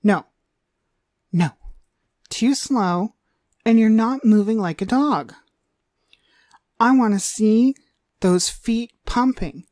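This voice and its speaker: A woman playing a cruel, domineering demonic dog trainer in an ASMR roleplay, haughty and scolding with drawn-out emphasis. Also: fairly high pitch, warm tone, no echo, clean studio sound. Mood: impatient, commanding.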